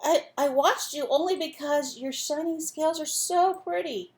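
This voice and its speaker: A woman voicing a huge male blue whale in a storybook, proud and easily hurt, speaking with slow emphasis. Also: high voice, voice pushed up. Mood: conciliatory.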